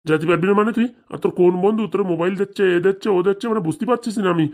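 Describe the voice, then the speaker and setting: A woman's voice: medium pitch, very fast, darkish tone, close to an everyday voice. A woman voicing an angry middle-aged cartoon father who scolds his son with insults. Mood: stern.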